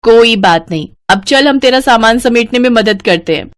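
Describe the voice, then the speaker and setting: Bossy married woman, put on by a female storyteller, chatty, familiar, animated pitch, dry recording, cartoon drama. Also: moderate pace, no echo, natural voice. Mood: consoling. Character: bossy, chatty elder sister-in-law handing out chores. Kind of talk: Hindi cartoon story dialogue.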